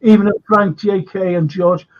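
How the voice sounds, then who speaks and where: A man's voice: sits high, steady tone, normal speed, lo-fi sound. An older man hosting a casual fan chat show, rambling and chatty with lots of "you know". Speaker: host.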